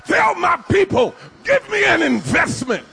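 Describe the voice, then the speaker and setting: A man's voice: very high-pitched, very animated, measured pace, gravelly, sharp tone, raised voice. A man preaching a fiery sermon, exhorting his congregation with commands and repeated refrains. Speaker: pastor. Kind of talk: shouted call-and-response sermon.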